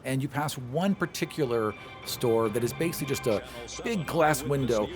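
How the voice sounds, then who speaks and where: A man's voice: medium pitch, animated, moderate pace, music in the background. A man improvising scenes as game master of a tabletop fantasy game, in a conversational, descriptive way. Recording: clearly noisy recording.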